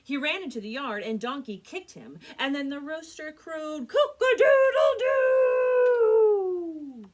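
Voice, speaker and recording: Lively, expressive woman librarian. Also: high voice, normal speed, hardly any pauses. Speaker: children's librarian and storyteller. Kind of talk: children's storytelling and book recommendations.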